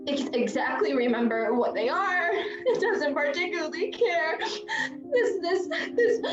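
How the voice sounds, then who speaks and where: A woman plays a distraught young woman in an amateur drama, in a high, slow voice. Her delivery is halting, emotional and apologetic, recorded with dull, roomy sound. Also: heavily acted. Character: distraught young woman fleeing a broken relationship. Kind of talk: fan-made drama acting over video call.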